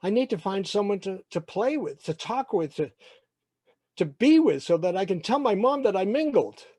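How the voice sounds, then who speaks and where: A man performs a lonely, anxious boy of twelve in a live-storytelling style. The voice sits fairly high and the recording is dry. The words come in halting, repeated little phrases, as if pleading with himself. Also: lively intonation, warm tone, slightly rough, no echo. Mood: anxious, wistful. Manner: halting, repetitive inner monologue. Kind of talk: first-person present-tense storytelling.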